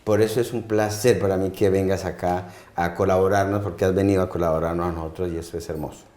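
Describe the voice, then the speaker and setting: Deep, mellow-voiced Colombian doctor. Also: male voice, fairly even intonation, fast, echoey room. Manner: hesitant, repeats words while searching. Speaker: neurosurgeon guest. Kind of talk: interview answers.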